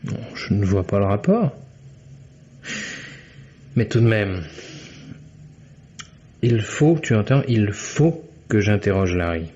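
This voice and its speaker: A man's voice: on the low side, sing-song, slow pace, long pauses, warm tone. An actor playing an insistent male investigator in an old French radio mystery, questioning urgently. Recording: dull vintage radio recording.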